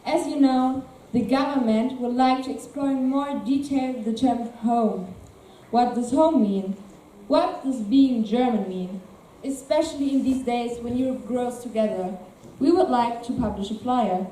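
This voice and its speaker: A woman's voice: fairly high pitch, a little slow, dark tone, lightly acted. A woman playing a formal government speaker. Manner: formal, speech-like. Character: woman government official giving a speech. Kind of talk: amateur stage performance. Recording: echoey room from far off, faint noise.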